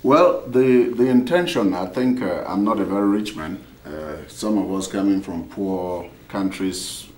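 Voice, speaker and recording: A very deep-voiced man from Cameroon speaks in a measured way, pausing often with fillers. He sounds set back from the mic in an echoey room, with faint background noise. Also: darkish tone, distant mic. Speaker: poet and author. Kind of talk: panel discussion answer.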